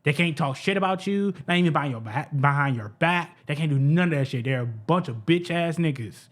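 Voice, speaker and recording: A man with a high-pitched voice giving heated, profane reaction commentary, repeating himself and stumbling over his words. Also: expressive, fairly quick. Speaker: reaction streamer.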